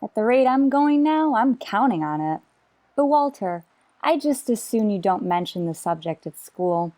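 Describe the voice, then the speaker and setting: Actress as woman English teacher, lively intonation, wry, sarcastic, dry wit, old-time radio comedy. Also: fairly high pitch, normal speed, natural voice. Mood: brisk, wry. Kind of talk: old-time radio sitcom acting and narration.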